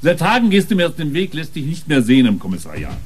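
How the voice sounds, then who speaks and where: Husky, sardonic policeman, swinging pitch. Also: wildly swooping pitch, fast, heavily acted. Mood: reproachful. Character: gruff, sardonic male police officer, sceptical and grudging. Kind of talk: German radio crime drama acting.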